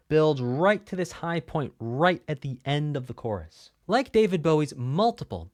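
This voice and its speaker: A man's voice: fairly high pitch, dry recording, clean studio sound. A man giving an explanatory music theory lesson as a video host, talking in a conversational, emphatic teaching manner.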